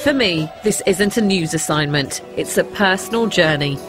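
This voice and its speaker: A woman's voice: fairly low pitch, animated, normal speed, over loud music. A woman with an English accent presenting a personal documentary, in narration and to camera. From England.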